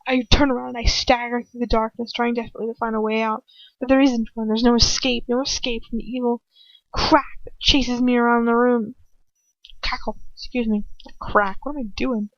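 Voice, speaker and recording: A woman reading fan fiction aloud as an amateur, expressive and informal, stumbling over words and correcting herself. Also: fairly high pitch, a little fast, no echo. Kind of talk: dramatic first-person story narration. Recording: dull, lo-fi home microphone.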